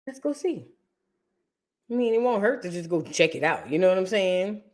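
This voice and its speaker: A woman hosts a casual reaction stream, talking loudly and with emphasis, repeating her punchlines and calling on viewers by name. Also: mid-range voice, sing-song, moderate pace. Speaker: livestream host reacting to history content.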